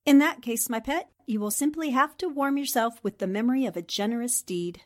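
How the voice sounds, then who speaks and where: A woman's voice: fairly high pitch, brisk, lightly acted. A woman playing a worldly, teasing newspaperwoman in 1940s radio style, theatrical and a touch condescending. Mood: firm, patronizing.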